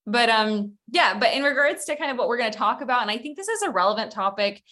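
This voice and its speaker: A woman from Ukraine, a recruitment consultant, giving a conversational presentation over a video call, chatty with small laughs and quick restarts. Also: on the high side, lively intonation, bright, forceful tone.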